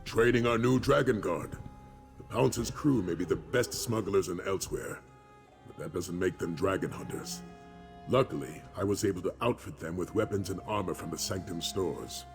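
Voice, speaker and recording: Wise old-school commander type in a fantasy game, really deep, serious and formal, music in back. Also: male voice, vocal fry. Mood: resolute, hopeful. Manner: measured, solemn, formal. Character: grave, wise male dragon-hunter commander and mentor. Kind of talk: video game dialogue acting. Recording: music behind the voice.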